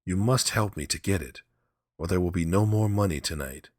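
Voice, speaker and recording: A man voicing a proud, hot-tempered, scheming husband in a storybook, forceful and demanding. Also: low-pitched, normal speed, dry recording, studio quality. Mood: urgent.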